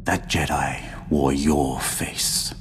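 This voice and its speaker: An actor playing an ancient, proud Sith lord in a video game, speaking in a formal, solemn and disdainful manner. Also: male voice, rumbling low, fairly even intonation, extremely slow, slight background noise, exaggerated voice. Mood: grave, foretelling. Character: ancient, grim, proud Sith lord, three centuries old. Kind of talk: dramatic video game voice acting.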